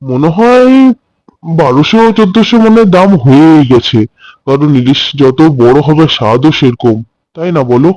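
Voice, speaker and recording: A man playing hearty village dad, slightly high voice. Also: lively intonation, moderate pace, a little mellow, lightly acted, low-quality recording. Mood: fond. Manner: exclaiming, chatty, emphatic repetition. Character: doting, hearty village father who loves food. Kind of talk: Bengali cartoon story voice acting.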